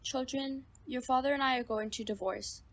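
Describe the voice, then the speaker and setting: A vain, embittered mother from a radio drama, acted by a woman. Her voice sits on the high side, with a snippy, theatrical edge. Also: moderate pace, faint music behind. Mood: bitter, blunt. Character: bitter, vain mother in a failing marriage.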